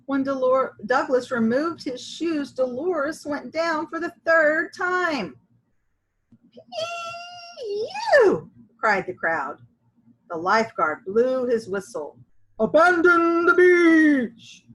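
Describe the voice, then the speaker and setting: A woman reads a children's storybook aloud with lots of expression. Her voice sits fairly high and swings widely in pitch. She goes slowly and stretches words for emphasis. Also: animated, fairly bright tone, low-quality recording. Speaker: storybook reader.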